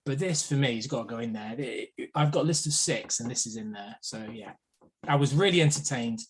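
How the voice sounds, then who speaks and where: A man's voice: medium pitch, brisk, slightly rough. A man in his forties chatting casually as a podcast panellist about films, emphatically repeating phrases for effect. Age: 40s. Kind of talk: casual film discussion.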